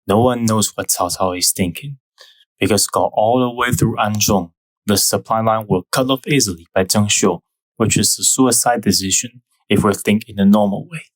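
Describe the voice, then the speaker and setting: Young Taiwanese-accented male host, lowish voice, dry close mic, measured scripted history narration. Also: no echo, rough recording. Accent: Taiwanese Mandarin. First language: Mandarin. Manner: careful, measured explaining with non-native phrasing.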